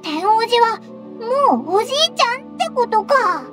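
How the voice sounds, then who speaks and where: Squeaky, childlike fairy sidekick. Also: female voice, normal speed, full character voice. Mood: cheeky, surprised. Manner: squeaky, blunt, excitable. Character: tiny, cheeky, childlike floating fairy companion. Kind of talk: Japanese anime game voice acting. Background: background music.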